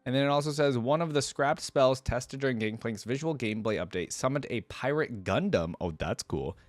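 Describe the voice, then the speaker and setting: A man's voice: medium pitch, lively intonation, a little fast, dry recording. A man giving casual, informal reaction commentary as a video host, thinking aloud as he goes.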